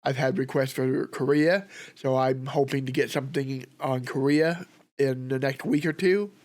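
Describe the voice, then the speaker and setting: A man with a sore throat from a cold, hosting a history reaction with relaxed, rambling commentary. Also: mid-range voice. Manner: relaxed, rambling, thinks aloud with fillers. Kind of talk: casual commentary.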